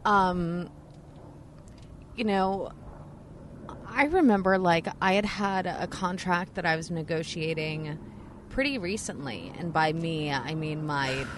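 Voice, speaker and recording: Woman, somewhat low voice, rambling, profane, casual podcast, faint noise. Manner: chatty, rambling, many fillers, profane, laughs a lot.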